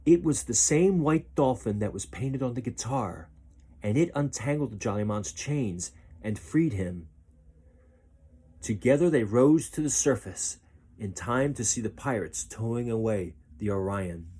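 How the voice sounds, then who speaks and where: A man reading a children's story aloud in an expressive narrating style. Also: mid-range voice, a little slow, husky. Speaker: storybook reader.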